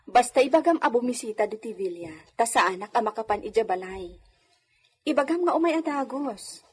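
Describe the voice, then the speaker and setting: A woman's voice: fairly high pitch, expressive, brisk, slightly rough. A woman playing a well-born señorita giving instructions, with an Ilocano accent, in a radio drama. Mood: coaxing.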